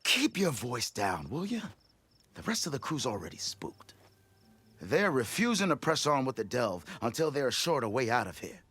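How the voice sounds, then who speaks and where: Blustering expedition boss, swooping theatrical delivery. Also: male voice, mid-range voice, sing-song, moderate pace, fairly bright tone, husky. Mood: hushed irritation. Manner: theatrical, wheedling salesman's patter. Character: blustering, self-important male expedition leader, shifty salvage boss. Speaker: expedition leader. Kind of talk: video game cutscene dialogue.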